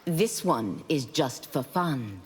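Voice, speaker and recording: An actress playing a composed, solemn warrior woman in a fighting game, speaking in a measured, earnest way. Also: a bass voice, lively intonation, husky, heavily acted. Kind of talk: dramatic video game dialogue. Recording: long reverb, loud background noise.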